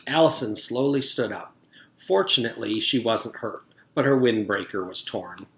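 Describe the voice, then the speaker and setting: A man reading a children's book aloud as a teacher would for pupils, with expressive storytelling. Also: fairly low pitch, moderate pace. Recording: echoey, reverberant room.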